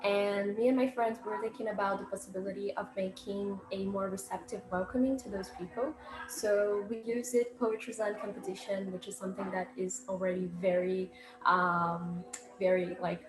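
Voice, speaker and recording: A woman of twenty-one from Brazil with a Brazilian accent, giving an informal presentation in a halting way as she searches for words. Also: mid-range voice, fairly even intonation. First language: Portuguese. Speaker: poet and student speaker. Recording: echoey room with loud background noise.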